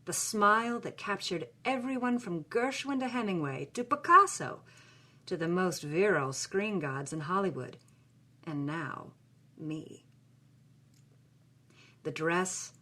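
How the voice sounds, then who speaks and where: A woman's voice: lowish voice, pushed and bright, exaggerated voice. A woman voicing a passionate, sensual young film star, reading a journal with lingering, dramatic phrasing.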